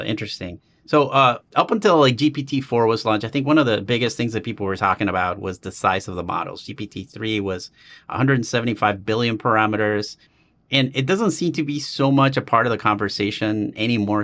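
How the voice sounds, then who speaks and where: A man's voice: fairly low pitch, fairly bright tone. A man hosting an interview podcast, asking long, rambling questions in a casual, digressive way. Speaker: podcast host.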